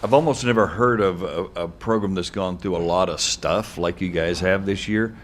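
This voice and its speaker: Low-pitched man hosting a sports podcast. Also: normal speed, a little hiss or hum. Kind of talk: conversational sports interview hosting.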